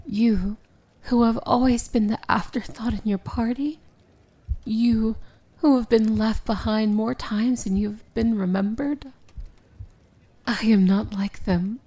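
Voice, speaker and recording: A woman playing a seductive, tender yet commanding evil princess in an intimate fantasy ASMR roleplay, speaking softly and often whispering. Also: a little high, fairly even intonation, no echo. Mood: devoted, reassuring. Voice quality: soft, breathy, often whispered. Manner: slow, intimate, gently commanding.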